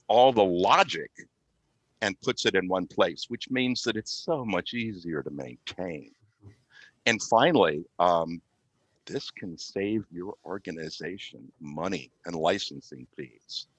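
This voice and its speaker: A man giving a measured, halting technical explanation as a podcast guest. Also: lowish voice, a bit bright, no room sound. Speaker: IT specialist and consultant, guest. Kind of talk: explanatory product talk on a podcast.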